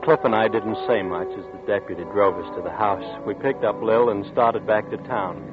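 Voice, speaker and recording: A man's voice: on the low side, moderate pace, close to an everyday voice. An actor in a 1940s radio drama narrating as a nervous, guilt-ridden man, with a tense, brooding delivery. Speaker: narrator and character Vince. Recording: tinny old radio broadcast with music.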